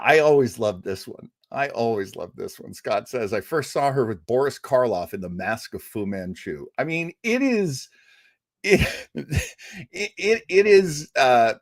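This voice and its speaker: A man's voice: medium pitch, no room sound, clean studio sound. A man hosting a live show, chatty and rambling as he reads out viewer comments. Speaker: show host. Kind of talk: casual livestream chat.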